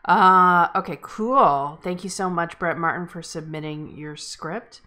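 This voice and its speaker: Woman, slightly low pitch, host, casual group discussion, informal talk. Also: a little slow, bright, forceful tone. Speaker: table read host.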